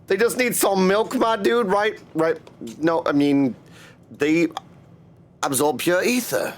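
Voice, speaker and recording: High-pitched man chatting on a livestream. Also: slow. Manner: chatty, greets viewers by name. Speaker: game livestreamer. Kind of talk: casual livestream chat.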